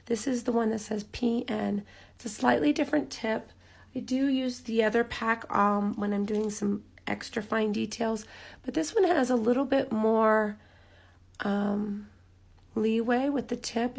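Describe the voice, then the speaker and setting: A woman with a hoarse, raspy voice leading a live craft tutorial, chatty with lots of ums, dropping almost to a whisper as she works. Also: on the high side. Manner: chatty, relaxed, frequent ums, drops to near-whisper while focusing. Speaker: rock painting instructor.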